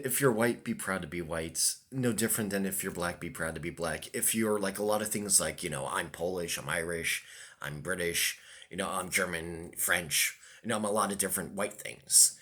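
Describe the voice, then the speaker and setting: Deep-voiced rambling man. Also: pushed and bright. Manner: hesitant, many ums and restarts. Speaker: vlogger. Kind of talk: casual rambling monologue.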